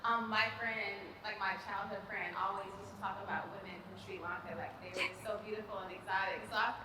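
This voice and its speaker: A woman's voice: medium pitch, steady tone, fast talker, noisy background. A woman co-hosting a casual interview, chatty and enthusiastic. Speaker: co-host. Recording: distant, very echoey with music.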